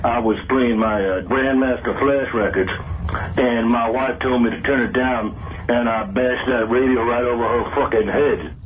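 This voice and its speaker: A man's voice: mid-range voice, fairly even intonation, moderate pace, slight background noise. Man putting on an earnest, touchy caller, tinny phone line. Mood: solemn, touchy. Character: earnest, touchy married man phoning a show. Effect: telephone line. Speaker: prank caller. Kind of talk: call-in prank call.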